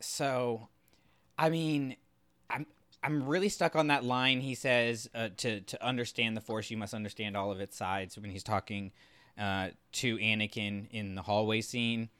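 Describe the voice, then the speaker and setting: A man co-hosting a podcast in casual analytical discussion, thoughtful and halting as he reasons aloud. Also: on the low side, steady tone, a bit bright, clean tone, no room sound. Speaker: podcast co-host.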